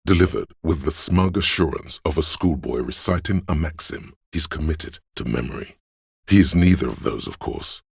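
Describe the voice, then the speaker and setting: An actor voicing a suave, theatrical inner voice in a video game, narrating in a measured, wry way with a gravelly, husky tone. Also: male voice, extremely low pitch, animated, dry recording, studio quality, exaggerated voice. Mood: wry, knowing. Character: suave, theatrical inner voice of a detective's flair and agility. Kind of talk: scripted second-person game narration.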